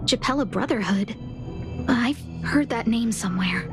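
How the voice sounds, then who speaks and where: Loud music backs a young female adventurer in a video game. Her voice is on the high side, with a casual, questioning, puzzled way of talking. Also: lively intonation, a little slow. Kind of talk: scripted video game dialogue.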